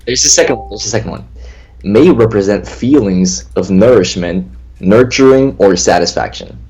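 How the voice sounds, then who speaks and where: A man's voice: on the low side, moderate pace, crowd noise, rough recording. A twenty-year-old man co-hosting a casual livestream chat, rambling and often repeating himself. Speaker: co-host of a lucid dreaming stream. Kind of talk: casual livestream chat, reading viewer questions.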